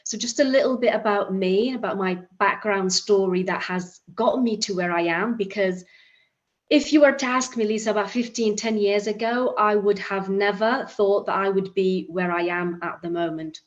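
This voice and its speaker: A woman's voice: mid-range voice, moderate pace. Earnest woman on lo-fi call audio. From Iraq. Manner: earnest, with many restarts and fillers. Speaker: summit speaker. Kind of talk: motivational talk.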